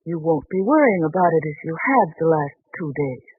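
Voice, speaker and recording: Earnest wife, thin old radio sound. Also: female voice, low-pitched, expressive, a little fast, husky. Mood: calm, knowing. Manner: gentle, earnest, reproachful. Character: patient, earnest wife of a doubting man. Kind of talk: 1940s radio drama acting. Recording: thin, muffled 1940s radio recording.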